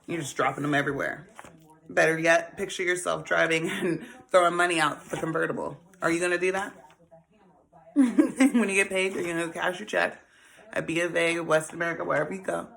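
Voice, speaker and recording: Female host, 40s, low-pitched with a gravelly edge, casual and playful. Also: normal speed, fairly bright tone. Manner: chatty, laughs often, calls listeners honey and baby. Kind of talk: casual financial advice talk.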